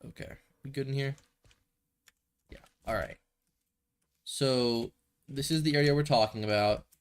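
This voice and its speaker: Stuffy, rambling young gaming streamer. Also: male voice, mid-range voice, unhurried, clean tone. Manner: rambling, thinks aloud, self-correcting. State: a little stuffy from a cold. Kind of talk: casual gameplay commentary.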